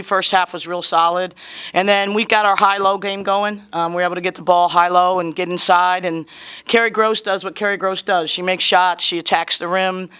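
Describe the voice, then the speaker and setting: Slightly hoarse woman coach, earnest and bright. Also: fairly low pitch. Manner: earnest, conversational, proud of her players. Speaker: basketball head coach. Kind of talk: postgame press conference.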